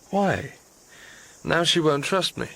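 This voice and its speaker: A man's voice: fairly high pitch, vocal fry, pushed and bright, heavily acted. An actor playing a wary, frustrated young man in a naturalistic radio drama, speaking tersely and earnestly.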